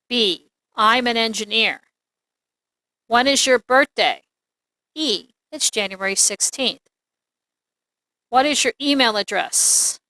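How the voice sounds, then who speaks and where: A woman teaching an English lesson, reading examples aloud in a deliberate, clearly enunciated, instructional way. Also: fairly high pitch, sharp tone, no echo.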